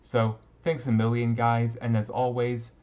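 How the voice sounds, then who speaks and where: A man's voice: medium pitch, monotone, measured pace, darkish tone, dull audio. A man who makes roleplay audio, talking casually and earnestly to his listeners in an informal outro.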